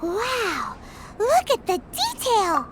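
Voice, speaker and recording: A woman voicing a tiny, excitable, childlike fairy companion in a video game, with a squeaky voice. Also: drawn-out words, very noisy, full character voice. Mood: excited. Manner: excitable, speaks of herself in third person. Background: game music.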